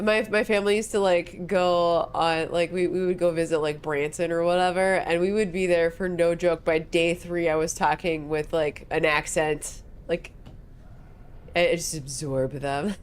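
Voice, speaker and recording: A woman's voice: fairly low pitch, clean tone. A woman co-hosting a game stream in casual chat, talking through a stuffy nose, rambling and laughing easily. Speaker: streamer co-host. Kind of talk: casual let's-play chat.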